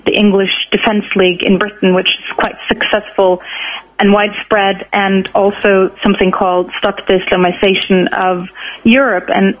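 Woman expert on a tinny phone line. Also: medium pitch. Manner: measured, explanatory, searching for words. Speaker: expert guest on right-wing extremism. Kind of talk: explanatory live news interview. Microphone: telephone.

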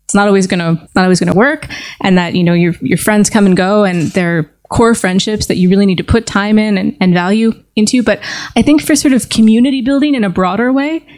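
A woman hosting a casual conversation at a table with friends, talking thoughtfully and pausing with fillers. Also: medium pitch, darkish tone. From United States. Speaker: podcast host. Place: seated at a table with others.

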